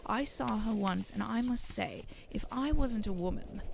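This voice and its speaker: A woman's voice: a little high, a little slow, gravelly. A woman voicing a bold, sly, playful young princess in disguise for a storybook audio drama, on a noisy recording. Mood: eager, teasing. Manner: playful, enthusiastic.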